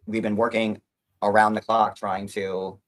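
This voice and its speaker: A man's voice: fairly low pitch, level tone, measured pace. A man chatting casually on a livestream, rambling and swearing casually.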